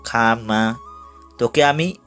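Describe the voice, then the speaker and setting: A man's voice: medium pitch, slow, fairly bright tone, clear, resonant tone, soft background music. A man playing an abusive, domineering young husband in an audio drama, speaking harshly. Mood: angry, menacing. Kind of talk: dramatised audio story acting.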